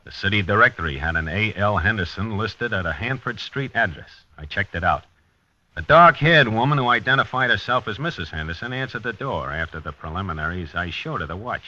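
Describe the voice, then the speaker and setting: An actor playing a methodical police detective in a 1950s radio drama, clipped, businesslike and probing. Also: male voice, on the low side, animated, fairly quick, bright, forceful tone, no room sound, exaggerated voice. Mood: matter-of-fact. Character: methodical male police detective narrating and questioning a witness. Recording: dull lo-fi vintage radio broadcast.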